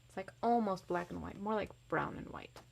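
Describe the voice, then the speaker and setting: A woman's voice: medium pitch, moderate pace, creaky. A woman reading a picture book aloud expressively to children, with casual explanatory asides. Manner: animated, varies voice for characters.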